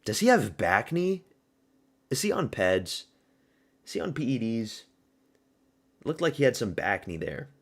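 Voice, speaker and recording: A man's voice: mid-range voice, big pitch swings, unhurried, vocal fry, long pauses. A man from the American South hosting a fight watch party stream, talking loosely and profanely with his viewers. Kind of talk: casual live-stream commentary.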